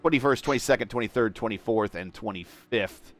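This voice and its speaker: A man calling play-by-play for an online sim racing broadcast, steady through caution laps and rising in pitch and volume at restarts. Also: brisk. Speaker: lead race commentator.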